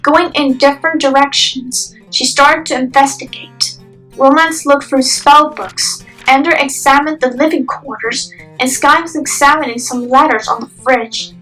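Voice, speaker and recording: A woman's voice: high voice, fairly even intonation, measured pace. A young adult woman reading scripted dramatic narration for a story, recorded echoey and off the microphone. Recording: distant echoey home microphone. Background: soft music.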